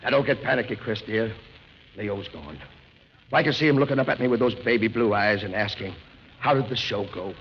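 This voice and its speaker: A man's voice: fairly low pitch, brisk, highs cut off, slight background noise, natural voice. A man playing a desperate, scheming Broadway producer in a 1940s radio comedy, urgent and bossy. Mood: mock solemn, flustered.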